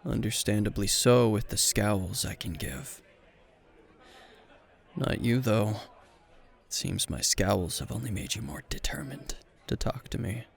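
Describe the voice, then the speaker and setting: A man playing a gruff, weary, tipsy hero teacher who is dryly flirtatious, in an intimate, deadpan ASMR roleplay with a gravelly voice. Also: heavily acted. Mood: dry, teasing, then wry, tipsy. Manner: low-key, dry, deadpan, unhurried.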